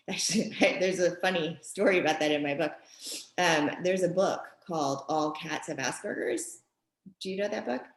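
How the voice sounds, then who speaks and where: A middle-aged woman from Japan, talking thoughtfully in an interview with frequent pauses and ums. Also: fairly low pitch, slight background noise. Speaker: guest author and mother. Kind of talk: conversational interview. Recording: echoey room.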